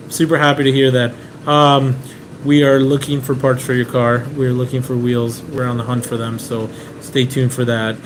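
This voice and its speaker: A relaxed man from Venezuela chats through viewer questions on a live stream in a mid-pitched voice. His talk wanders, with restarts and filler words, and an air conditioner hums clearly in the room. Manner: relaxed, chatty, many "um"s and restarts. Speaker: car importer hosting a live Q&A. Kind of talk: casual live-stream Q&A, reading viewer comments. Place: indoors in a rented apartment. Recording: clear room noise and AC hum.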